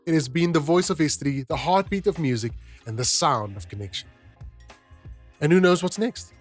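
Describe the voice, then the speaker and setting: A man's voice: on the high side, lively intonation, moderate pace. A man hosting a podcast, speaking directly to his listeners. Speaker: podcast host. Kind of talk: podcast narration and informal address to listeners. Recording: music bed behind the voice. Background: music.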